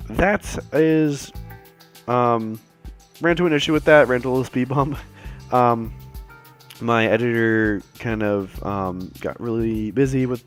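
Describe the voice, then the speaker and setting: A man recording a casual update video, a little raspy from a cold, rambling with many fillers and restarts, apologetic and appreciative. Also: on the low side. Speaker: self-published author giving updates.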